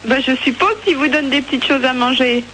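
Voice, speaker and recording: A woman's voice: sits high, fairly even intonation, a little fast, bright, forceful tone. A woman working as an emergency line operator, talking with a caller on the phone. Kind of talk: phone conversation. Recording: noisy telephone call recording.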